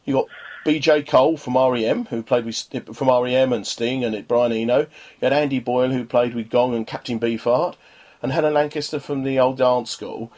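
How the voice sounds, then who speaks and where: A man's voice: mid-range voice, steady tone, fairly quick, darkish tone. A middle-aged man hosting a casual radio interview, chatting in a rambling way and often stammering over repeated words. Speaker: radio show host.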